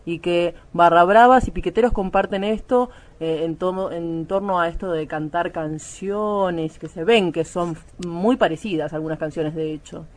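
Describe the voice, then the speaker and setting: A woman's voice: on the low side, moderate pace, few pauses, close to an everyday voice. A woman playing a courteous, eager radio host with an Argentine accent in a satirical radio play. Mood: eager, earnest. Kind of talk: satirical radio theatre interview.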